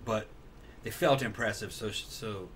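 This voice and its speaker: A man's voice: on the low side, normal speed, a bit bright. A man in casual discussion as a co-host, circling back to repeat and rephrase his points. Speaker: show co-host. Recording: faint background noise.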